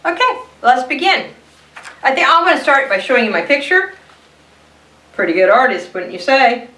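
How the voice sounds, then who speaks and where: Timid, stubby little storybook crayon, woman performer; hesitant and polite, high-pitched, echoey room. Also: animated, normal speed, pushed and bright. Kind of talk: children's storybook read-aloud. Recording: roomy echo, distant mic.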